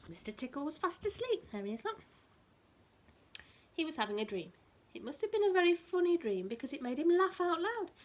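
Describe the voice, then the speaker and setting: A woman reading a storybook expressively to a small child, explaining things in simple words as a teacher would. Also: a little high. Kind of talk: animated storybook read-aloud with asides explaining words.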